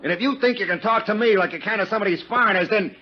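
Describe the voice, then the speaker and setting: An actor in a 1950s radio drama playing a crude, boastful, jealous Chicago businessman, rambling and overfamiliar in folksy roughneck diction. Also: high-pitched, rapid-fire, very noisy, natural voice. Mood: blustering, threatening. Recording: dull vintage 1950s radio recording.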